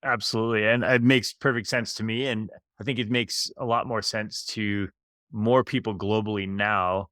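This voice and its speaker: A man's voice: on the low side, fairly even intonation, moderate pace, a bit bright, dry recording, studio quality. A man hosting a podcast who reads an upbeat scripted introduction with emphasis, then asks interview questions. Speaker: podcast host.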